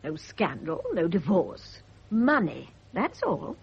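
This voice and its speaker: A gossipy middle-aged nurse in a radio play, very husky and breathy, swooping wildly up and down as she insinuates and patronises. The old recording is dull and muffled. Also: lowish voice, sing-song, slow pace, heavily acted. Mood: cynical, insinuating. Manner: insinuating, knowing, condescending. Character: gossipy, self-satisfied veteran private nurse, a woman. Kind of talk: radio drama acting.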